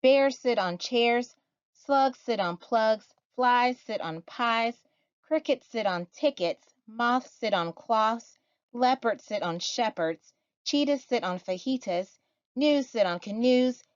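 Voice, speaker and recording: A woman's voice: on the high side, expressive, slow, clean tone, no echo, muffled recording, voice pushed up. A woman voicing a fussy storybook cat, a stickler for rules, listing things insistently in sing-song.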